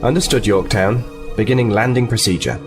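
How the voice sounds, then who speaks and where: A man's voice: lowish voice, fairly quick, music in the background, natural voice. A man playing an earnest, diplomatic lieutenant in a sci-fi audio drama, polite and composed as he explains. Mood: businesslike. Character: earnest, diplomatic male starfleet lieutenant, liaison officer.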